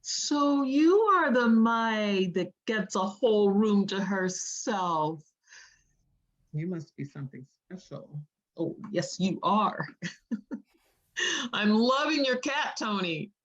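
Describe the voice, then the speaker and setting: Woman playing witty poet, American accent, unhurried pace, slangy teasing, slightly dull lo-fi recording, drama script read. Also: medium pitch, expressive. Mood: affectionate, playful. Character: Cassandra, witty teasing woman poet visiting an old lover. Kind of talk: informal screenplay table read.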